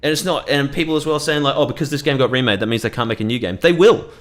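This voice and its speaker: A man's voice: mid-range voice, very fast, fairly bright tone. Ranting, swearing gaming streamer man. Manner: ranting, swearing, laughs often. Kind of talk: gameplay commentary.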